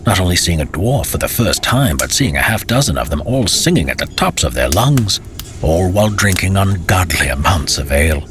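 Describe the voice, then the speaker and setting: A man's voice: low voice, sing-song, normal speed, a bit bright. A man narrating a fantasy tale as a storyteller, with dramatic, theatrical and courtly phrasing.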